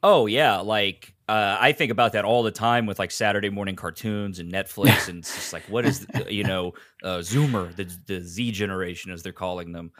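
A man with a fairly low voice, recorded dry with little room sound. He talks as an interview guest in a loose, conversational way, restarting and correcting himself as he goes. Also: normal speed, few pauses, fairly bright tone, no echo. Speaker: podcast guest, writer. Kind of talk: casual interview chat.

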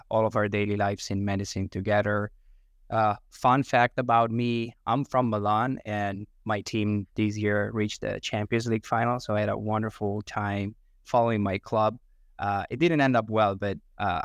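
A man's voice: lowish voice, no echo, clean studio sound. A man in his thirties from Italy, Italian his first language, a medical resident speaking in a friendly, eager way. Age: 30s. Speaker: resident co-host. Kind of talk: podcast introduction and questions.

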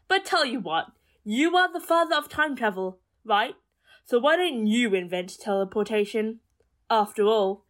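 A woman's voice: on the high side, a bit bright. An actress playing a firm, coolly dismissive woman in an audio drama, speaking in a matter-of-fact, slightly condescending way.

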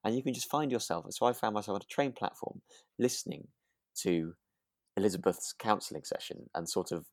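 A man's voice: lowish voice, animated, brisk, no echo, studio quality. A man co-hosting a podcast in casual chat, effusive and often repeating himself as he searches for phrasing. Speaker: podcast co-host. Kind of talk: casual chat about a radio drama.

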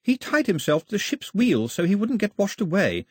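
A man's voice: high-pitched, huge pitch swings, a little fast, breathy edge, dry recording, studio quality, voice pushed up, full character voice. A man voicing an anxious, chatty shipwrecked young girl who rambles out apologies.

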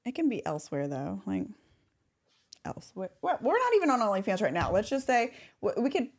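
A woman of ordinary pitch who co-hosts a loose, candid chat show. She rambles, trails off mid-thought and breaks into laughter. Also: sing-song, a little fast. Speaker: podcast co-host. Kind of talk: casual chat.

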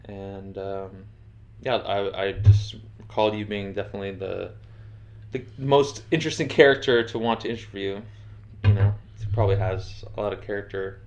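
A man's voice: lowish voice, steady tone, normal speed. A man hosting a casual interview, rambling and chatty, with lots of fillers. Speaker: podcast host, interviewer. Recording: dull lo-fi audio.

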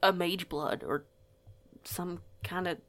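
A woman's voice: fairly low pitch, fairly even intonation, slow pace, a bit bright, no echo. A woman playing a flustered, curious young newcomer in an audio roleplay, with a hesitant, rambling way of talking. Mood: surprised, curious. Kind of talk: audio roleplay acting, casual dialogue.

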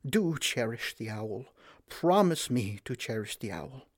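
A man voicing a dying old king, slow and solemn. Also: medium pitch, big pitch swings, no echo, studio quality. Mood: solemn tender. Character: dying old king, gentle, wise, powerful magician.

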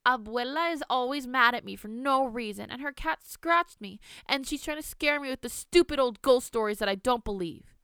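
Spoiled whiny little girl, high and clear, read by a woman narrator. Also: moderate pace, a bit bright, dry recording, clean studio sound. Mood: whiny, sulky. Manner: whining, sulky.

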